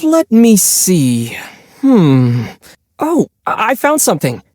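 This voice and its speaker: A man voicing a young adventurer in a video game, calm, kind and dryly witty, with a gently teasing, unhurried delivery. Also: high-pitched, no echo, clean studio sound, exaggerated voice. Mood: playfully showy.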